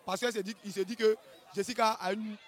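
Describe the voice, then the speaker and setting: A high-pitched man with an Ivorian accent commentates a fight live. He talks very fast in loose, colloquial bursts, repeating himself and checking that his listener follows. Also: some chatter behind. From Ivory Coast. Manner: excitable, repeats phrases, frequent tag questions.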